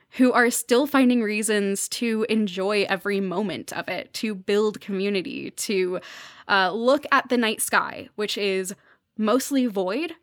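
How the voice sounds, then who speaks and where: A woman's voice: medium pitch, fairly bright tone, dry recording, clean studio sound. A woman speaking as a podcast guest, giving thoughtful conversational commentary in an earnest, reflective and emphatic way.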